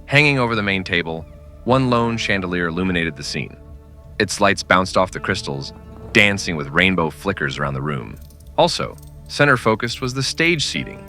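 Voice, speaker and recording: Deep, bright-voiced man narrating a western. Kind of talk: scripted storytelling narration in old-time radio western drama.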